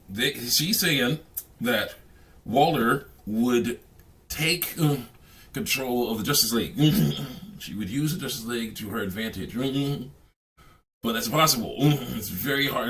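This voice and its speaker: A man giving casual, off-the-cuff reaction commentary on a game, talking in a halting, rambling way with frequent hums and chuckles. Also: mid-range voice, lively intonation, a bit bright, rough recording.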